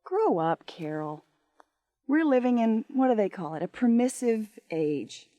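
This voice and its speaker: A woman's voice: on the high side, sing-song, a little slow, mellow, no room sound, dull audio. A woman playing a tipsy, bored American wife in her twenties, flippant and cynical, in a radio drama. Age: 20s. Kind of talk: naturalistic radio drama acting.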